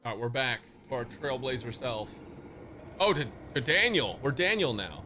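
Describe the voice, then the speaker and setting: A male game streamer with a bright, forceful voice at an average pitch. He comments casually and reads along, with game music faintly behind him. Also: lively intonation, normal speed. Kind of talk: casual live reaction commentary, reading game dialogue.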